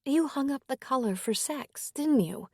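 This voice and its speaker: A woman's voice: high voice, lively intonation, moderate pace, mellow, breathy edge, no echo, studio quality, pitched above the performer's own voice, heavily acted. A woman voicing a wary, flustered aid worker, anxious and teasing, in a lifted register. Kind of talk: audiobook character reading.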